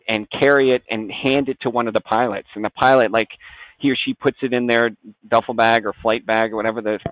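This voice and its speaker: An American man talking casually as a medical expert guest, with halting, stop-start, conversational phrasing. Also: mid-range voice, dry recording, lo-fi sound.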